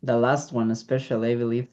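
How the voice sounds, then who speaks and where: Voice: medium pitch, a little mellow. An interviewer asking a musician questions, chatty and adding opinions of their own. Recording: dull lo-fi audio.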